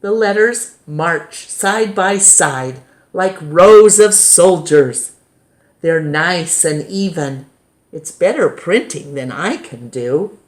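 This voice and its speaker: A woman reading a children's picture book aloud in a measured, gently expressive way. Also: fairly low pitch. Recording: roomy, echoing indoor sound.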